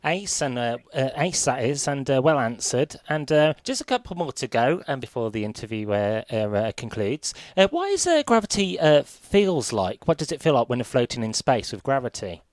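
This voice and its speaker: A British man asking questions as a radio interviewer in an informal, halting, hesitant way. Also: medium pitch, very animated, dry recording.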